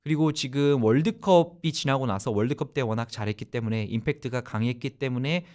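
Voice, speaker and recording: A man hosting a radio sports talk show, explaining at length in a loose, animated conversational way. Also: mid-range voice, fairly quick.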